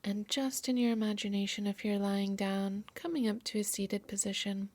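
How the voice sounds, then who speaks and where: A woman yoga nidra instructor softly narrating a guided meditation in a soothing, measured, breathy voice. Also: medium pitch, fairly even intonation, no echo. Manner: soft, soothing, measured delivery.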